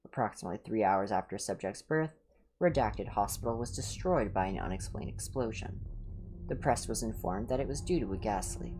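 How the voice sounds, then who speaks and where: A woman hosting a livestream, reading stories aloud with casual asides. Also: rumbling low. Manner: switches between upbeat chat and serious, measured reading. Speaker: livestream host reading horror fiction aloud.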